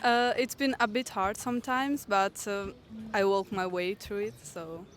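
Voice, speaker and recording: A woman's voice: medium pitch, fairly even intonation, a little slow, a bit bright, a little hiss or hum. Woman giving brief, hesitant answers. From Italy. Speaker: tourist interviewee.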